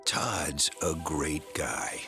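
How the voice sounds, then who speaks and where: Guy playing fed-up sarcastic friend in an ad, super gravelly, music underneath. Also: rumbling low, extremely slow, exaggerated voice. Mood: mock admiring. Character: exasperated man pleading with his friend Todd. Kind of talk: acted advert dialogue.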